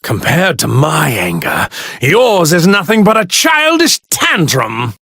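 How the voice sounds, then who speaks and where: An actor playing an arrogant god in a stolen body, pompous and sneering in formal, grandiloquent speech for an anime fighting game. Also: male voice, on the high side, huge pitch swings, slow, creaky, pushed and bright, husky, dry recording, studio quality, heavily acted. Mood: haughty, menacing. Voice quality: theatrical, sneering delivery.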